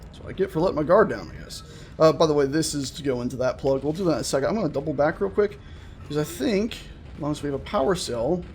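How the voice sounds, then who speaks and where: A man giving casual, chatty let's-play commentary on a horror game, full of jokey asides and an excitable, jumpy manner. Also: fairly high pitch, fast, a little hiss or hum. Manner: jokey, chatty asides to himself and the game's characters; jumpy, excitable, prone to swearing shouts. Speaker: gaming video host.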